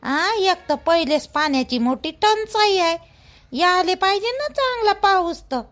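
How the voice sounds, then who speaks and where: A woman's voice: very high-pitched, lively intonation, measured pace, a bit bright, heavily acted. A woman voicing a chatty, nosy but helpful older village housewife in a cartoon, speaking colloquial Vidarbha Marathi full of exclamations. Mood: chatty, grumbling. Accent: Varhadi Marathi, Vidarbha. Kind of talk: rural family cartoon dialogue.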